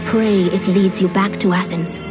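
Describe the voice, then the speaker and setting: A woman plays a commanding, prophetic guide in a video game cutscene. Her mid-range voice is grave and measured, full of foreboding. Loud music swells behind her. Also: medium pitch, steady tone, lightly acted. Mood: solemn, foreboding. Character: solemn commanding female guide, foretelling a perilous quest.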